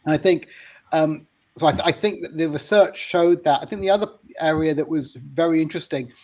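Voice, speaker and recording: A man explains things as a guest on a panel, through somewhat dull, lo-fi audio. His pitch is a little higher than most men's. He talks informally, in a conversational and anecdotal way. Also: a little fast, a little mellow. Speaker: panelist sharing research findings.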